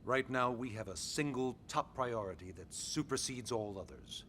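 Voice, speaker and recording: An actor playing a composed, authoritative middle-aged military commander in a video game cutscene, speaking in a measured, deliberate way with weighty pauses. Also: male voice, mid-range voice, lively intonation, fairly bright tone, a little hiss or hum, natural voice. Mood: explaining, resolute. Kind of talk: video game cutscene acting, expository dialogue.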